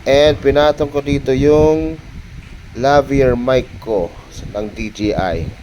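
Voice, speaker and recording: A Filipino man giving a casual, halting vlog-style product show-and-tell, mixing Tagalog and English. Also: mid-range voice, darkish tone, slight background noise. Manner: halting, code-switching between Tagalog and English. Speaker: motovlogger.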